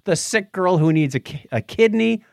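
A man's voice: high voice, animated, moderate pace, vocal fry, a little mellow, no echo, clean studio sound. A middle-aged or older man co-hosting a podcast, casually reviewing things in a rambling way and groping for names. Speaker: podcast co-host. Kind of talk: casual movie and book review chat.

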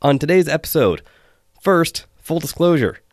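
A man hosting a solo podcast, hoarse and losing his voice, chatting with wry asides. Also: on the high side, animated, moderate pace, dry recording, clean studio sound. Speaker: podcast host.

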